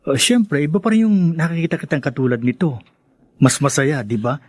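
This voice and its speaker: A man's voice: fairly high pitch, lively intonation, brisk, darkish tone, breathy edge, no echo. Bashful young Filipino man, dry studio sound. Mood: tender, sincere. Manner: hesitant, earnest. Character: humble, bashful young working man, tender and sincere. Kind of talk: radio drama acting.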